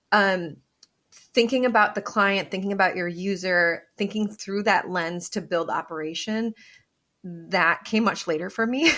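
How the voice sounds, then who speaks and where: A woman's voice: mid-range voice, a bit bright. A young woman hosting a podcast, chatty and enthusiastic, who laughs easily. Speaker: podcast host. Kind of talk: podcast interview.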